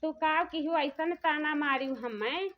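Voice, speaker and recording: A woman voices a high-pitched village daughter-in-law in a dialect cartoon comedy. She is weary of taunts and complains in a plaintive, repetitive ramble with a rural eastern UP accent. The recording is dull and lo-fi. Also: a little slow, a crowd in the background. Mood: bitter complaint. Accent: rural eastern Uttar Pradesh. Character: aggrieved elder daughter-in-law, weary of taunts, threatening to leave.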